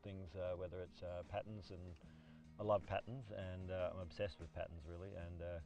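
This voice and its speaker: Low male voice, Australian accent, relaxed halting chat, outdoors slightly off-mic, music underneath. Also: far from the mic. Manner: laid-back, halting, chuckles between phrases. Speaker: island guide and ranger being interviewed. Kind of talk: casual interview chat. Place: outdoors on a lake beach.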